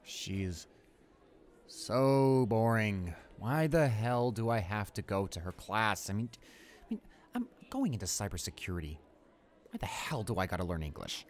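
Audio roleplay bully: male actor as a young male student, lowish voice, arrogant, irritable and dismissive. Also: big pitch swings, moderate pace, slight background noise. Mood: irritated. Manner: smug, dismissive, muttering to himself.

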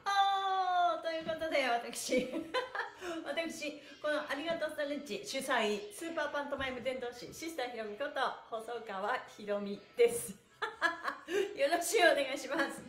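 A woman's voice: high voice, measured pace, fairly bright tone. A middle-aged woman hosting a stretching class, giving cheerful, exclamatory and polite instruction with playful wording to a livestream audience. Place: small bare indoor room with exercise mat. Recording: echoey small room.

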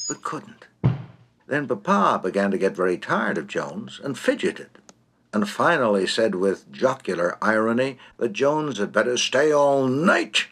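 Lively, unhurried male storyteller. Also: on the low side, a little hiss or hum. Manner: droll, theatrical, precise storytelling. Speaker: storyteller, narrator. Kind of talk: scripted narration of a comic short story.

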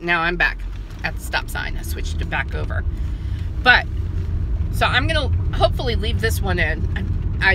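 A woman, chatting casually to a phone camera while driving and ranting about other drivers. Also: mid-range voice. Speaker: vlogger. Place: inside a car, then an office. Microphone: phone camera on dashboard mount. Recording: car road noise behind.

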